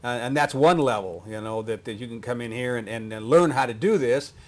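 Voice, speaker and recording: A man's voice: mid-range voice, fairly quick. A man interviewing guests in a casual conversation, often affirming them. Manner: conversational, affirming with frequent "mm-hmm".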